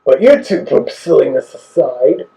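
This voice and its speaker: A man's voice: fairly high pitch, animated, a little slow, gravelly, mellow, rough recording. A man giving casual, offhand critical commentary as he reviews a recording. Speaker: reviewer and host.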